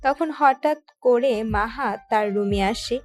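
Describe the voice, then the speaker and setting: A woman's voice: a little high, slow pace, a little mellow. A woman reading out scripted story narration as the narrator of an audio tale.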